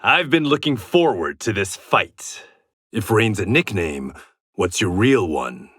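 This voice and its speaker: A man's voice: on the low side, very animated, slow, fairly bright tone, husky, dry recording, clean studio sound, exaggerated voice. An actor playing a proud warrior and former gangster in a video game, confident and terse, with a sardonic edge to his short fight taunts. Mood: firm, confident.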